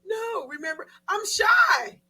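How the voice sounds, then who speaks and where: A woman's voice: extremely high pitch, very animated, slow, pushed and bright, shouting. A woman in her thirties co-hosting a casual talk show, slangy and playful, often mock-protesting. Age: 30s. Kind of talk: casual banter.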